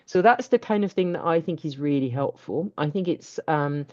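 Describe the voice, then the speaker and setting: A woman's voice: deep voice, normal speed, dark tone. A woman speaking earnestly and encouragingly to a webinar audience, with some fillers. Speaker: webinar speaker for a student charity. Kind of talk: reflective talk. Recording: dull lo-fi video call.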